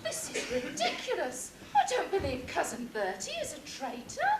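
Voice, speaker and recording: A woman plays an easily exasperated pantomime royal with grand bluster. The pitch swings wildly, and the echoing stage recording is noisy. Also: huge pitch swings, normal speed, exaggerated voice. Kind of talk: broad pantomime stage acting. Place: theatre stage during a live amateur show.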